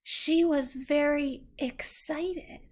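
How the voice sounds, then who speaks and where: Slow, higher-pitched woman reading aloud. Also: steady tone. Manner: patient, explains words simply. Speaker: adult reading aloud to a young child. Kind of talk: slow read-aloud storytelling with questions to a child.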